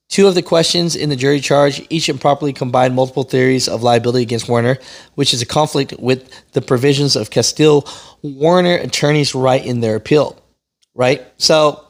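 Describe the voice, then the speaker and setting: A man hosting a news channel, reading and explaining in a casual, opinionated, conversational way. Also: medium pitch. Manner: conversational, opinionated, often checks in with "right?" and "you know". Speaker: host of a trucking news channel.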